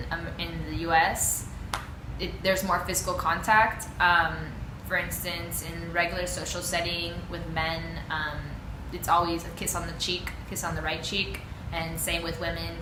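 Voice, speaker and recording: A woman's voice: fairly low pitch, level tone, moderate pace. A young woman from Peru answering interview questions in a chatty way, full of ums and likes. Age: young adult. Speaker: student interviewee. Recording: echoey room with clear background noise.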